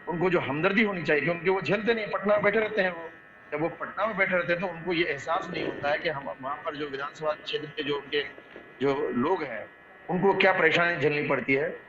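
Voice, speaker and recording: Echoey Bihari man, halting online speaker. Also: a little high. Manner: halting, repetitive, searching for words. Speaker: local activist guest in online debate. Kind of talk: extempore discussion of local issues. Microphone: online call. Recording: echoey muffled online call, background crowd murmur.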